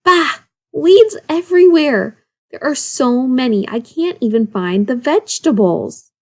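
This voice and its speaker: A woman's voice: high-pitched, lively intonation, unhurried, voice pushed up, exaggerated voice. A woman voicing a hungry, grumpy old male wolf, stiff and weary, in a storybook read-aloud. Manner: growling, grumbling.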